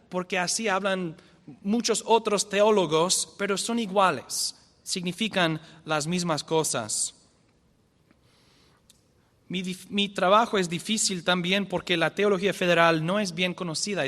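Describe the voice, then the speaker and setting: A man's voice: high voice, fairly bright tone, big-room sound. A man who is not a native speaker of Spanish, giving a church lecture carefully, often restarting and correcting himself. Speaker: guest teacher. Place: church hall.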